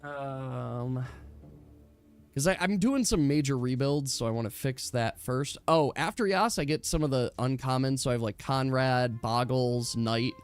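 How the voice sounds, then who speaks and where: A man's voice: mid-range voice, unhurried, clear, resonant tone, faint music behind. A man chatting casually as a game master, with an enthusiastic, rambling way of explaining things to his players.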